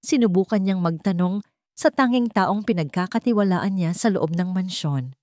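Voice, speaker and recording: A woman's voice: on the low side, moderate pace, warm tone, dry recording, studio quality. A woman with a Filipino accent narrating a scripted dramatic story.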